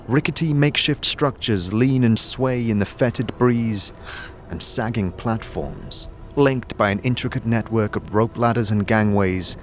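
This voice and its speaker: A man narrating a fantasy audio drama in the second person, with dramatic, descriptive storytelling and music behind him. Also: fairly low pitch. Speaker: narrator and game master.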